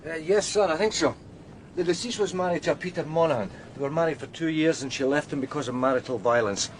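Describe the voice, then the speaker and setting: An actor playing a businesslike, deferential detective sergeant in a radio crime drama. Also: male voice, fairly high pitch, fast, background noise, natural voice.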